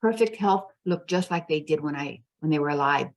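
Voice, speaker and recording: Woman host, lower voice. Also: brisk. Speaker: podcast host. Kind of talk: casual chat.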